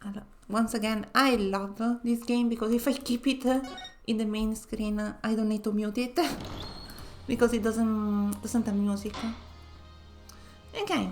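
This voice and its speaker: A woman with a nasal, slightly high voice talks casually while streaming a game. She rambles in a chatty way and often breaks into laughter between phrases. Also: fairly even intonation, measured pace, soft background music. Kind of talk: casual let's-play commentary.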